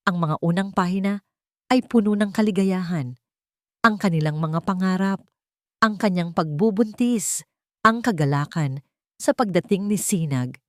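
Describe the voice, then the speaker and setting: A woman narrating an audio drama in a measured, dramatic storytelling manner. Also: mid-range voice, a little mellow, no room sound, clean studio sound.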